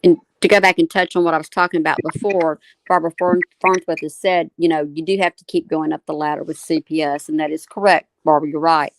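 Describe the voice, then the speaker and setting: A woman with a fairly low voice hosts a talk. She explains her points in a measured way, often restating them in short phrases. The sound is dry, with little room in it. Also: steady tone, dry recording, rough recording. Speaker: talk show host. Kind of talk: explanatory live commentary answering viewer comments.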